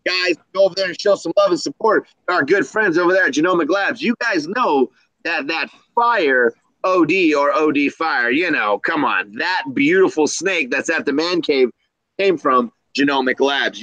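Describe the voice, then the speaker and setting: A man's voice: a little high, moderate pace, pushed and bright, no echo, low-quality recording. A man hosting a reptile keepers' live stream in a casual, slangy, upbeat style, hyping up the community. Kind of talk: casual live-stream chat with shout-outs.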